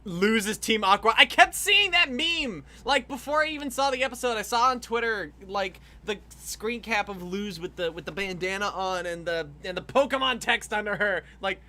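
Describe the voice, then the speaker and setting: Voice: mid-range voice, animated, moderate pace, hardly any pauses, bright, forceful tone. A livestream host chatting casually while animating, rambling and laughing as they talk, with frequent swearing.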